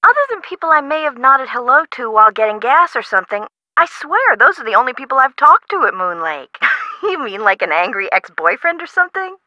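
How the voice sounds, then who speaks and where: Casting: dramatic, easily rattled woman homeowner for a game; high, very bright voice, colloquial delivery. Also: a little fast, no echo, dull audio, heavily acted. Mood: chatty, explanatory. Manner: emotive, expressive, colloquial. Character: frightened, dramatic woman homeowner, chatty and friendly. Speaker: voice actress in an adventure video game. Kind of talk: game character dialogue acting.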